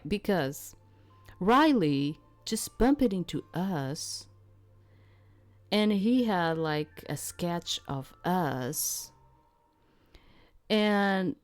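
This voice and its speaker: A woman streaming a game, reading its text aloud and adding casual, rambling commentary as she searches for words. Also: low voice.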